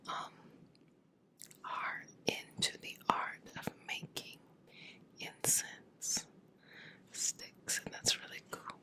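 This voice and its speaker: A woman whispering a soothing ASMR energy healing session in a breathy, unvoiced voice, gently repeating short phrases. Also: extremely slow, long pauses. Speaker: ASMR reiki energy healer.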